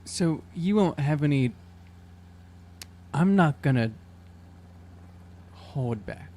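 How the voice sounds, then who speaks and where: A man playing a tabletop game, improvising in a casual, halting way as he searches for words. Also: fairly high pitch, lively intonation, warm tone. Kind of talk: casual improvised role-play chat.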